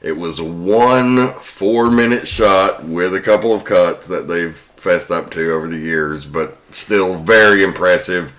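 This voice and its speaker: Bright, low-voiced man hosting a film podcast. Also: a little slow, few pauses. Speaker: podcast co-host. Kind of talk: prepared film trivia commentary among friends.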